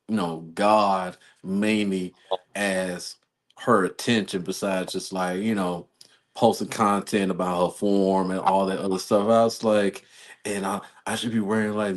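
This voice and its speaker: A man's voice: lowish voice, fairly even intonation, a little slow. An American man in a casual talk-show chat, rambling through a personal story as host. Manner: rambling, storytelling with quoted exchanges.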